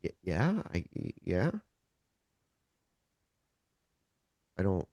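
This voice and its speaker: A man playing a nervous, well-meaning ordinary human in a tabletop game, talking casually in a halting, stammering way. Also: low voice, sing-song, a little mellow, dry recording, exaggerated voice. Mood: flustered.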